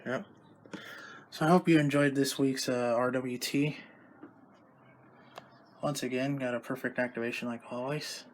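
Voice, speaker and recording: A man hosting a home vlog about weather radio tests, talking casually and loosely with halting restarts. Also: medium pitch, steady tone, slight background noise. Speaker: YouTube host recording radio tests.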